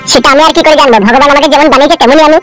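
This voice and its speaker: A man's voice: extremely high pitch, steady tone, racing, a bit bright, soft background music. A man voicing a timid, naive, protesting married simpleton who frightens easily, in a comedy cartoon. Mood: anxious refusal. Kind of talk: amateur cartoon comedy dubbing.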